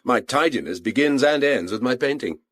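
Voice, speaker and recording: Husky, hot-tempered British painter. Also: male voice, mid-range voice, animated, moderate pace, a bit bright, dry recording. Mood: guarded, affable. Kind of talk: British radio detective drama acting.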